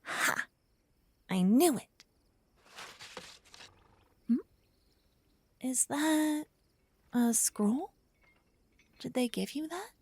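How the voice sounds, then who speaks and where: Breathy, earnest young paladin girl played by a woman. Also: sits high, lively intonation, dry recording, full character voice. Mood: friendly, curious. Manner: soft, breathy, gently flustered, slightly halting. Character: earnest, bashful young female paladin, affectionate fantasy adventurer. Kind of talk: scripted ASMR roleplay addressed to the listener.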